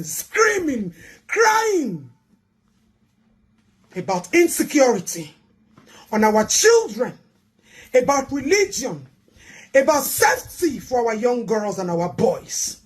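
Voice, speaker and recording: A woman with a Nigerian accent making an impassioned public address, with deliberate pauses and emphatic repetition. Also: on the high side, husky.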